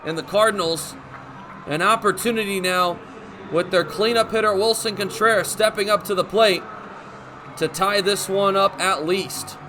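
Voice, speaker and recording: A young man calling baseball play-by-play for radio in crisp, descriptive phrases, as live sports commentary. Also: high voice, moderate pace, noisy background. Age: young adult. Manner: crisp, descriptive phrases with excited calls on big plays. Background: ballpark ambience.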